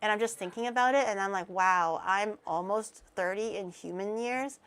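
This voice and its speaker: A woman's voice: medium pitch, normal speed, a bit bright, smooth. A woman in her twenties streaming, chatting casually while reacting to clips, rambling, self-mocking and laughing often. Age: 20s.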